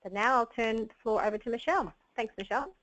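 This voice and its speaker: A woman's voice: a little high, fast, fairly bright tone, lo-fi sound. A woman moderating an online webinar, sometimes stumbling over her words. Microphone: web conference audio.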